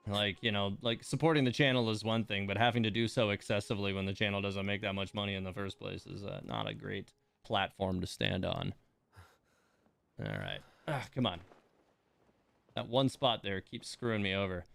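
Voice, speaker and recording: A man's voice: fairly low pitch, moderate pace, gravelly, fairly bright tone, clean tone. A man giving casual livestream game commentary, rambling and muttering to himself as he plays.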